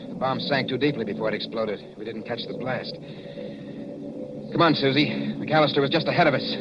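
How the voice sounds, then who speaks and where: An actor playing a brisk wartime hero investigator, with urgent, commanding 1940s radio acting. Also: male voice, mid-range voice, close to an everyday voice. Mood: grim. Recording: dull noisy old radio broadcast.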